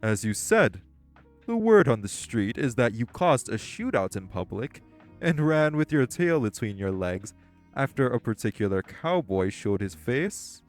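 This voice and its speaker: A man's voice: medium pitch, very animated, unhurried, faint music behind. An actor playing a composed, formal male crime ringleader who speaks in a stern, proper way. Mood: scolding. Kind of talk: audio roleplay acting.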